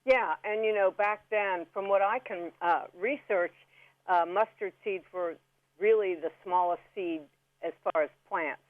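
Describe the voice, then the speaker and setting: A woman's voice: medium pitch, measured pace, dry recording. A woman guest on a radio interview, explaining food and plants in a chatty, instructive way. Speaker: guest food and herb expert. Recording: phone-like narrow band radio call.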